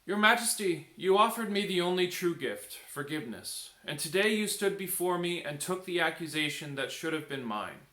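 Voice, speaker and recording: A man's voice: fairly high pitch, expressive, normal speed, few pauses, bright, forceful tone, pitched above the performer's own voice. Man as a humble storybook messenger, echoey room. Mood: earnest gratitude. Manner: earnest, deferential. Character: humble, grateful male royal messenger.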